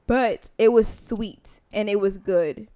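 A woman's voice: mid-range voice, slow, dark tone. A young woman co-hosting a casual podcast chat, rambling with lots of filler words. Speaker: podcast co-host.

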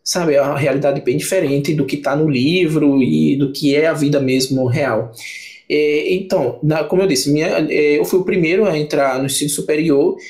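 A man's voice: a little high, steady tone, normal speed, a little mellow. Young man. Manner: rambling, many restarts and fillers. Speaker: book channel creator, podcast guest. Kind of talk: casual podcast conversation.

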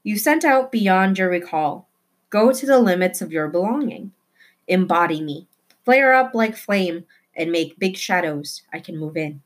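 A woman's voice: lowish voice, lively intonation, unhurried. A woman voicing a dramatic great-aunt in a storybook, formal and declamatory. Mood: solemn. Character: dramatic, brisk great-aunt, given to reciting poetry.

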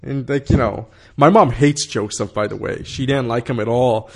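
A man's voice: mid-range voice, normal speed, gravelly. A man in his thirties telling a long story in casual conversation, rambling with many fillers, swearing and laughing.

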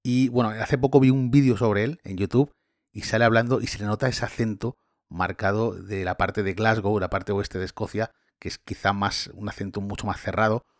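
Man, low voice, podcast host, chatty with tag questions, dry close sound. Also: steady tone, moderate pace, dry recording. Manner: conversational, asks questions, tag questions. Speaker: podcast host and tour guide. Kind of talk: casual conversation.

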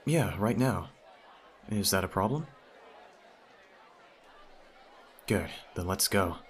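Man acting a low-voiced teenage boy best friend. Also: lively intonation, a little slow, some chatter behind, slight background noise. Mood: flustered, excited. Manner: conversational, addresses the listener directly, teasing. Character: teenage male childhood friend, teasing, smitten, quietly possessive. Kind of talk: ASMR audio roleplay acting.

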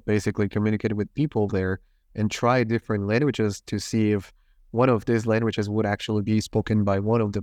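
A male podcast host asks his guest long questions that wander and repeat themselves. His voice is fairly low. The recording is dry and close, with no room sound. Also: a little mellow, no echo. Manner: hesitant, rambling questions with repeated words. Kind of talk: interview questions.

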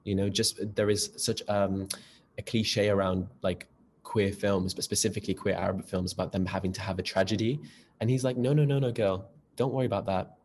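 Low, gravelly young man. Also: level tone, a little mellow, a little hiss or hum. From North West England. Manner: chatty, camp, quick asides, says darling and girl. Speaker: actor, interview guest. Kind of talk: casual interview chat.

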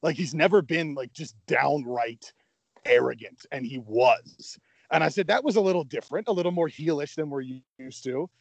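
A man with a fairly high voice talks with enthusiasm, stumbling over repeated words as he gets going. The sound is dry and close to the mic. He keeps it casual and conversational. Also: moderate pace, gravelly, dry recording. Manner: enthusiastic, stammering restarts. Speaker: podcast co-host. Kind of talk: casual wrestling chat.

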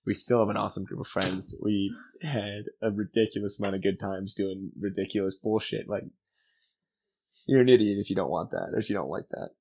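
A man's voice: on the low side, fairly even intonation, brisk, darkish tone, slight background noise. A young man hosting a casual podcast, talking animatedly and swearing freely. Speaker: podcast host. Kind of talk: casual chat.

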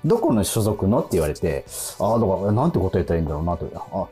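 A man. His voice is very deep and husky, and it swings expressively as he tells stories in a casual way. Music plays behind him. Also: racing. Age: 40s. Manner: animated, conversational storytelling. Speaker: radio show host. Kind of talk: casual radio chat with anecdotes.

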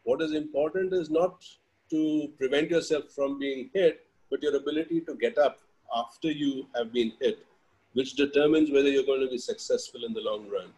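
A man's voice: medium pitch, moderate pace, darkish tone, low-quality recording. Male interview guest, middle-aged, Indian accent, mid-range voice, conversational and reflective.

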